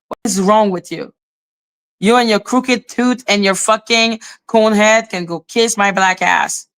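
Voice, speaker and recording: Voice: mid-range voice, measured pace, a bit bright, smooth. A live-stream host ranting in casual commentary, indignant and profane, with sarcastic mocking questions.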